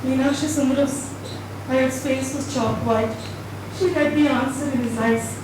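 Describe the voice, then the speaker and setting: Distant, very echoey, noisy recording: female story reader, high voice, expressive dramatic narration. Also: unhurried. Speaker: reader at a dramatised book reading. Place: indoor event hall at a live reading.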